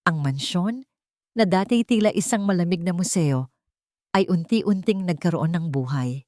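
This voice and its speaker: A woman with a Filipino accent narrates a scripted romance drama in a slightly low voice. Her storytelling is measured and expressive. The sound is close and dry, with very little room. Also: no echo.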